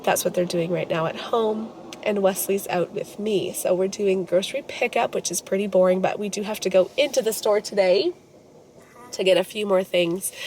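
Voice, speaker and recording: A woman in her thirties, hoarse from a cold, chatting casually and rambling as she vlogs her day into a handheld camera. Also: mid-range voice, slight background noise, low-quality recording. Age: 30s. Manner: chatty, rambling, self-correcting. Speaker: vlogger and mother. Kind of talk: casual vlog chat.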